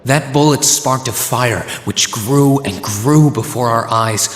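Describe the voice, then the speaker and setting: A man's voice: medium pitch, slow, noisy background, natural voice. A man voicing an earnest, anguished young hero in a video game drama, with a solemn, brooding manner. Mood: sorrowful reflection. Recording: echoey, long reverb.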